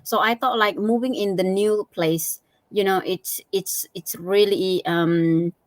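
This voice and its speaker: A woman's voice: mid-range voice, fairly even intonation, takes it slowly, dry recording, poor audio. A middle-aged woman with an Indonesian accent, giving a casual personal account as a guest, hesitantly and with many small filler phrases. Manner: hesitant, frequent "you know". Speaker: interview guest.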